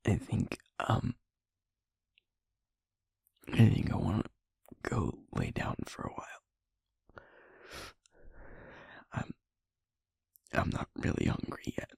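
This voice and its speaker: Male actor as sweet wounded werewolf boyfriend, intimate audio drama. Husky and creaky, close and dry, softly pleading. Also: fairly low pitch, huge pitch swings, no room sound, exaggerated voice. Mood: drained, tender. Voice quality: breathy, husky, often near whisper. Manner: halting, emotional, softly pleading.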